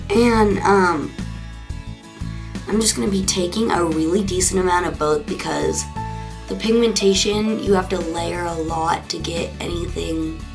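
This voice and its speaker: A young woman in her late teens talks through a makeup tutorial in a low, gravelly voice that is raspy and congested from a cold. She chats casually with plenty of fillers. Clear music plays behind her. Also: fairly even intonation, a little slow. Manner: chatty, with many fillers. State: hoarse and congested. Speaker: beauty vlogger.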